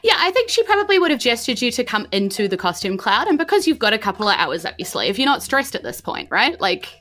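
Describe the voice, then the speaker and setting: Casting: female game master, New Zealand accent, bright tone, chatty improvised narration, light music underneath. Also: on the high side, big pitch swings, quick pace. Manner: informal, chatty, prompting players with questions. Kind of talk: casual narration of a tabletop game.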